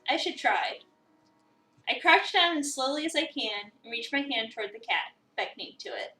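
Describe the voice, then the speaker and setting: A woman's voice: sits high, lively intonation, normal speed, sharp tone, distant mic. A woman streamer expressively reading a visual novel aloud, acting out lines and chatting casually in between. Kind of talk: dramatic reading of a game script with casual chat asides. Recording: roomy echo, away from the mic.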